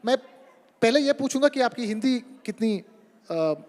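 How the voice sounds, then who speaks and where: A man moderating a summit panel, asking long questions in a brisk, talkative way. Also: very high voice, lively intonation, a little mellow. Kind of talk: moderating a panel discussion, mixing English and Hindi. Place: large event hall on stage. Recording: long reverb of a large hall.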